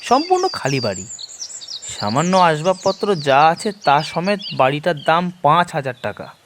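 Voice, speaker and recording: A man narrating a first-person horror story dramatically, in measured, expressive phrases with deliberate pauses. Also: a little high, a little hiss or hum.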